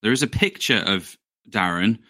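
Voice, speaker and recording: Casting: male co-host, bright forceful tone, playful teasing banter. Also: lowish voice, expressive, moderate pace. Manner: teasing, upbeat, introduces guests. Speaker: podcast co-host. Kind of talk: casual chat.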